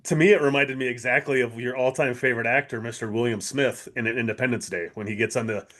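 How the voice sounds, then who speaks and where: A man with a mid-pitched voice co-hosts a casual TV review podcast. He talks fast, in an informal and appreciative way. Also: steady tone, a bit bright. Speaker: podcast co-host.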